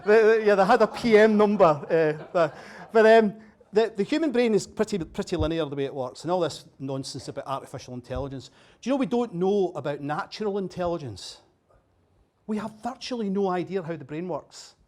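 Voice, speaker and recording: Scottish guy, highish voice, jokey after-dinner speech, keeps asking the room stuff. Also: lively intonation, fairly quick, a little mellow. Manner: chatty, jokey, quizzing the audience. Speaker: keynote speaker. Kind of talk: humorous after-dinner talk. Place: dinner venue with a bar.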